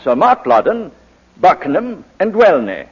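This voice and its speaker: A sly barrister in a 1940s radio drama, cross-examining with theatrical, mock-polite insinuation. His highish voice swings widely from silky to biting, heard through a dull, muffled old broadcast. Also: big pitch swings, measured pace, husky, full character voice. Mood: probing, insinuating.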